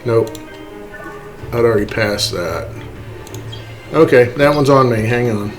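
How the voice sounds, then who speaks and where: Slow-talking man giving a casual tutorial. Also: medium pitch, music in the background. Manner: self-deprecating, apologetic, folksy. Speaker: tutorial host. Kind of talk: casual, unscripted screen-capture tutorial. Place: indoors, voice-over to screen capture.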